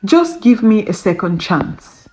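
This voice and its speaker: A woman's voice: medium pitch, very animated, slow pace, mellow, faint music behind, poor audio. Woman voicing a remorseful, lovesick young man, pleading. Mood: pleading, devoted. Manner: earnest, pleading.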